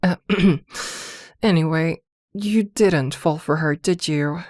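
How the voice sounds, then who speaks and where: A woman's voice: sits low, takes it slowly, darkish tone, no echo, studio quality. A woman playing a possessive, protective witch girlfriend in an ASMR roleplay, scolding and fussing tenderly by turns. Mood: jealous, possessive. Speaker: voice actor in an audio roleplay.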